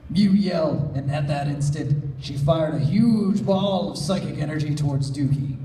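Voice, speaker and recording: A man's voice: on the high side, moderate pace, mellow, a little hiss or hum. A man narrating a story and chatting casually at a live fan fiction panel. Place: convention panel room. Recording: echoey panel room, mic at distance.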